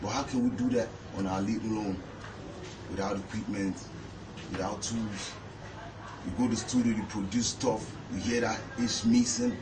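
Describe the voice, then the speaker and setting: A man's voice: lowish voice, animated, moderate pace. A man answering an interview question casually, with slangy phrasing. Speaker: artist contributor. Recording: echoey room, distant mic, noisy.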